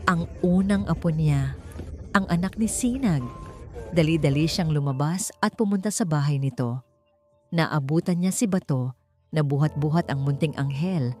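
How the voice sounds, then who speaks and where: A woman reads a scripted romantic story. Her voice is low and mellow, and she phrases deliberately with dramatic pauses. Soft music plays faintly behind her. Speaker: story narrator.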